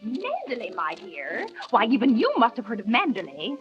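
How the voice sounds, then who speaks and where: An actress in a 1940s radio drama playing an older snobbish society matron, bossy and gushing. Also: female voice, fairly high pitch, wildly swooping pitch, normal speed, soft background music. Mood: gossipy. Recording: dull lo-fi old radio recording.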